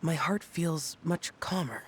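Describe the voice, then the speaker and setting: An actor voicing a reserved, brooding young man in a video game, earnest, hushed and hesitant. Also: fairly high pitch, slow pace, slight background noise. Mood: quiet, earnest.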